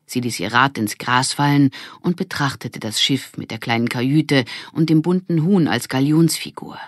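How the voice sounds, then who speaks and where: A woman reading a children's audiobook as narrator, with expressive scripted storytelling. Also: extremely low pitch, moderate pace, dry recording, studio quality.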